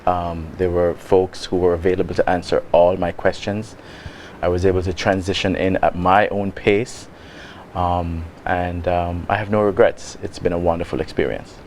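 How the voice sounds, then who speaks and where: A Jamaican man talks in a soft, measured way, pausing often on ums. His voice sits low. A faint hiss runs under the recording. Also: steady tone. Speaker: guest, lodge member. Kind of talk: casual interview.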